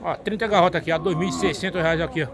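A man with a Northeast Brazilian accent tours outdoor cattle pens with a phone, pitching each lot in a lively, repetitive patter. His voice sits high. A busy crowd murmurs all around him. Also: racing. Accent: Northeast Brazilian Portuguese. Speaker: cattle fair video host. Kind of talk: walking tour, calling out cattle prices. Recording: crowd noise behind.

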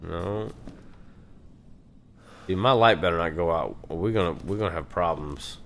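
A hoarse man with a Texan accent, chatting nervously and muttering as he plays a horror game, swearing at the scares. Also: sits low, measured pace, a bit bright. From Texas. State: hoarse from allergies. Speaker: let's play gamer.

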